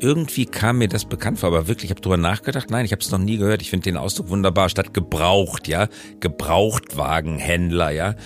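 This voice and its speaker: A man's voice: low-pitched, brisk, few pauses, soft background music. A man hosting a podcast in a conversational style, with a wry, playful manner. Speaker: podcast host.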